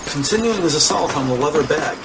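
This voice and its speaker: A man narrating a scripted crime audio drama in the present tense with deliberate, descriptive delivery. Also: a little high, expressive, over music. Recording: distant voice over loud background noise.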